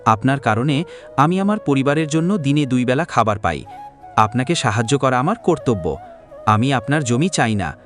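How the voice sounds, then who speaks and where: A man voicing a humble, flattering poor farm labourer in a cartoon, speaking in a deferential, pleading way. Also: medium pitch, moderate pace, faint music behind, lightly acted. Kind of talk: cartoon dialogue acting.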